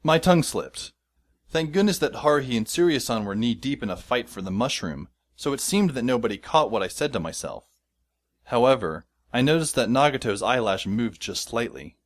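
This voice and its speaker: A man's voice: medium pitch, animated, normal speed, lightly acted. A man narrating as a wry, sarcastic teenage boy with a dry, sardonic manner, over background music. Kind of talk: fan audio drama narration.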